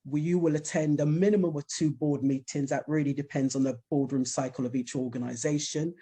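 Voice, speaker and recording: Guy explaining a program, kinda husky, warm low tone, lots of uhs. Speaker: programme host. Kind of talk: presenting a programme at a launch event.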